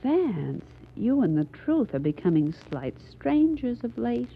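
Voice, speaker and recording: An actress playing a detective's loyal, gently teasing secretary, arch and polished in 1940s radio mystery style. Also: female voice, a little high, very animated, unhurried, vocal fry, breathy edge, exaggerated voice. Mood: teasing, coy. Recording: muffled vintage radio recording.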